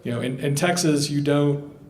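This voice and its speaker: Halting man answering at public meeting. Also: a little high, darkish tone, a little hiss or hum. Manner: halting, many fillers. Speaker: utility commission presenter.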